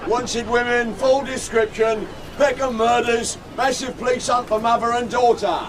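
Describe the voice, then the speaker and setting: A man's voice: extremely high pitch, steady tone, moderate pace, crowd noise. A man playing an English street newspaper seller calling out headlines in an audio drama. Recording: distant, noisy outdoor street ambience.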